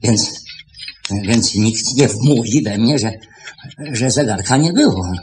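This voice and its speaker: A suspicious, stammering, swooping-voiced shopkeeper. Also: male voice, on the low side, animated, slow, darkish tone, reverberant. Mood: deliberate, pondering. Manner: stammering, repeating words insistently. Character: suspicious, irritable male shopkeeper interrogating his assistant. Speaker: actor. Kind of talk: 1970s Polish radio play acting. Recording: noisy old 1970s radio recording.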